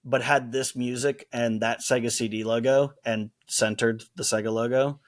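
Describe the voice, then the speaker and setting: A man's voice: medium pitch, steady tone, normal speed. A man co-hosting a casual podcast, chatting with nostalgic enthusiasm and restarting his sentences often. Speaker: podcast co-host. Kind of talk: casual chat.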